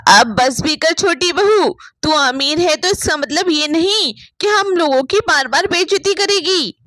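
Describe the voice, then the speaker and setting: A woman voicing a patient, weary elderly mother-in-law who pleads and gently chides in a family story. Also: sits high, animated, measured pace, no room sound. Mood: reproachful. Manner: chiding, pleading.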